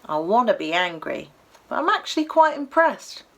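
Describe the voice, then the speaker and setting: A woman's voice: a little high, big pitch swings, moderate pace, pitched above the performer's own voice, heavily acted. A woman voicing a brash, cheeky male toy llama with a Cockney accent for a storybook. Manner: loud, bold, streetwise, familiar. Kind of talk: acted storybook character voice.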